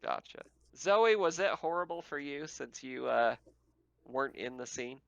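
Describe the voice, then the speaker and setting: A man's voice: medium pitch, expressive, measured pace, vocal fry, no echo, lo-fi sound. A man running an online tabletop game as game master, narrating casually and often chuckling as he talks. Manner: chuckles often while talking. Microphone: online voice call.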